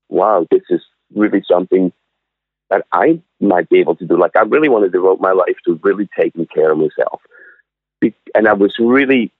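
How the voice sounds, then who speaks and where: A man in his sixties from Switzerland, a guest restaurant owner, telling his story in a halting way with many fillers and restarts. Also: deep voice, no room sound. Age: 60s. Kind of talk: casual interview storytelling. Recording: dry, tinny phone line.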